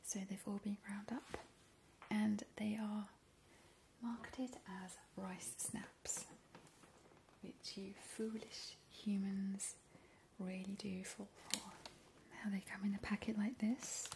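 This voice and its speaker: A woman playing a sly, macabre immortal vampire who scorns mortals, whispering slowly and teasingly in an ASMR roleplay. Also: medium pitch, flat delivery, gravelly, noisy background. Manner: slow, teasing, drawn-out whispering. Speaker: ASMR host. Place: indoor kitchen.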